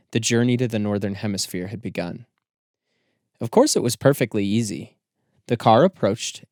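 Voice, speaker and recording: A man reading scripted literary narration as an audiobook narrator, with measured, deliberate phrasing. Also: on the low side, a little mellow, no room sound, studio quality.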